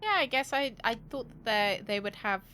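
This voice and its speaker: A woman's voice: fairly high pitch, lively intonation, moderate pace, bright, forceful tone, a little hiss or hum. A woman doing casual live game commentary, thinking aloud in a playful, cheeky, rambling way and swearing freely. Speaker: game streamer.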